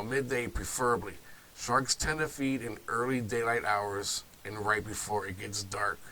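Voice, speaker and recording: Male host, stuffy nasal voice from a cold, lowish pitch, bright edge, faint hiss. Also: poor audio. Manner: loose and offhand, with restarts and asides. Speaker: video series host. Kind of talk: informal talk to camera.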